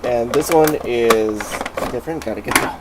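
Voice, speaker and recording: A man with a cold and a nasal voice, chatting excitedly through a casual toy review at home. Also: medium pitch, normal speed, heavy background noise. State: sick, nasal.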